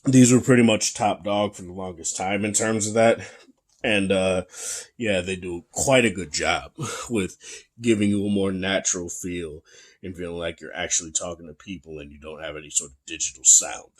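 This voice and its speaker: A young man with a very low, bassy voice talks through a gadget review in an easygoing way. He is chatty and relaxed, with plenty of fillers and restarts. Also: moderate pace. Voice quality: deep, bassy voice. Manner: laid-back, chatty, many fillers and restarts. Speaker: tech reviewer. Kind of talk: casual product review.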